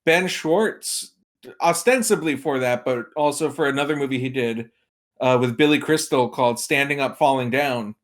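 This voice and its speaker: A man's voice: mid-range voice, moderate pace. A man chatting casually as a podcast guest and film expert, with a wry, tongue-in-cheek manner. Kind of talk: casual chat about movies.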